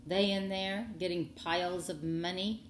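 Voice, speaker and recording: A woman's voice: fairly low pitch, fairly even intonation, unhurried, echoey room, a little hiss or hum, natural voice. A woman voicing a smug, nosy, greedy man, a storybook villain who is drawling, falsely polite and insinuating. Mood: prying.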